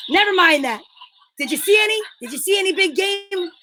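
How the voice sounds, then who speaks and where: A woman's voice: very high voice, lively intonation, fairly quick, noisy background. A woman playing an exasperated, bossy straight man in an old-time radio comedy sketch, scolding and questioning with disbelief. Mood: impatient.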